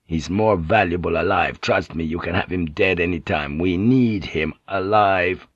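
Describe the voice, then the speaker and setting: A man's voice: deep voice, unhurried, husky, no room sound, dull audio, pitched below the performer's own voice. A man voicing a wily Japanese lord, urgently persuasive and conspiratorial. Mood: low, persuasive.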